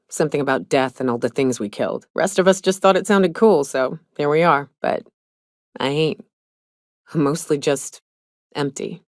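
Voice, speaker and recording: Game role: woman playing a hardened female monster hunter, low-pitched, blunt, folksy and world-weary, close dry sound. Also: no room sound, close to an everyday voice. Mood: reflective, then hollow, sad. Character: tough, hard-drinking, haunted female monster hunter and mercenary. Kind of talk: video game character dialogue.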